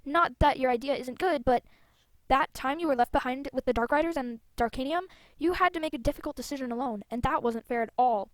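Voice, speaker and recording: A woman's voice: high voice, fairly quick, no echo, lightly acted. A woman voicing an earnest, brave young heroine in a fantasy game, with an urgent manner. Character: earnest, brave young female soul rider.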